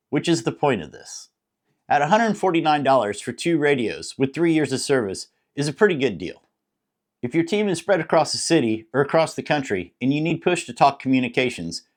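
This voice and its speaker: A man with an American accent narrating a scripted product review in a plain-spoken, explanatory way. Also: medium pitch.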